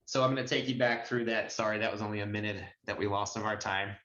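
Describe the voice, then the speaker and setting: A man with a fairly low voice presents a training walkthrough on a group video call. He explains each step informally and talks straight to the learners. The sound is slightly dull, with a clearly roomy echo. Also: fairly even intonation, fast, fairly bright tone. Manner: explanatory, step-by-step, addresses listeners directly. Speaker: notary trainer and signing agency presenter. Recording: muffled, roomy online call audio.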